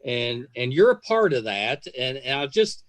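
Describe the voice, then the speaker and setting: A man in his fifties hosting a podcast, chatting casually like an enthusiastic fan, with halting, trailing sentences. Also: medium pitch, very animated, no echo. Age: 50s. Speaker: podcast host. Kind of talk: casual interview chat.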